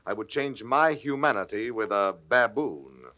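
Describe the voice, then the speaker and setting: An actor playing a cynical, scheming young soldier villain in a classical audio drama, mocking and persuasive in a declamatory stage manner. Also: male voice, fairly low pitch, lively intonation, measured pace, bright, forceful tone. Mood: mocking, scornful. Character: scheming cynical soldier, male villain, aged twenty-eight. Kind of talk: classical radio-play stage acting.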